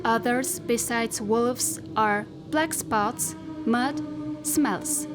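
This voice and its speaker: A woman playing a possessive, coaxing spacesuit AI in a science fiction audio drama, speaking deliberately and intimately with careful articulation and a self-satisfied, controlling manner. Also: a little high. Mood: ominous. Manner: slow, deliberate, over-articulated, intimate and insinuating. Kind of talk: theatrical science fiction monologue. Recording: music behind the voice.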